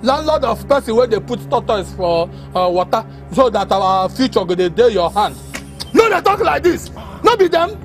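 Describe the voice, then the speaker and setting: A man's voice: very high voice, sing-song, normal speed, faint music behind. A man acting a brash, mouthy tenant in a Nigerian Pidgin comedy drama, ranting and taunting with a Nigerian accent. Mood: angry, mocking. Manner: loud, taunting, repetitive ranting. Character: brash, mouthy male tenant, drinking and defiant.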